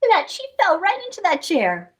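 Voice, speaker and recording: A woman's voice: very high voice, a little fast. A woman hosting children's storytime, reading expressively and playfully, putting questions to young listeners. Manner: expressive, playful, asks listeners questions and adds sound effects. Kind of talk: animated picture-book read-aloud for young children.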